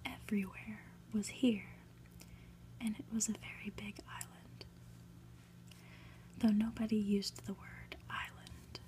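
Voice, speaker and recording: A woman softly whispering a story aloud in an ASMR style, deliberate and lingering on phrases with pauses. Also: medium pitch, level tone, rough recording. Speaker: ASMR reader, channel host.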